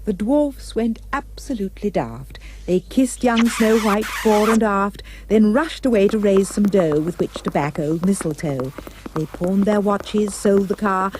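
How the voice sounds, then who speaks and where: A woman's voice: mid-range voice, a little mellow, a little hiss or hum, low-quality recording. A woman narrating a rhyming storybook tale for a puppet show with dramatic, emphatic delivery.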